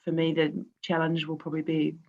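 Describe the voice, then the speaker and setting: A woman's voice: deep voice, completely flat delivery, fairly quick, a little mellow, slight background noise. New Zealand woman on dull call audio. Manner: cheerful, chatty, self-deprecating. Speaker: quilt-along participant. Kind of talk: casual chat on a video call. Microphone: video call.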